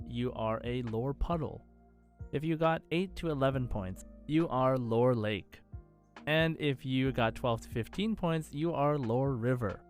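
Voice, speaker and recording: Casual man hosting a gaming podcast. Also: on the high side. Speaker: podcast co-host.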